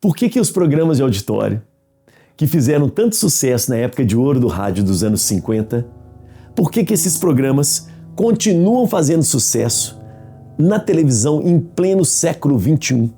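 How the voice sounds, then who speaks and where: A man's voice: medium pitch, very animated, normal speed, mellow, breathy edge, soft background music. A Brazilian man giving explanatory commentary, posing questions and answering them himself.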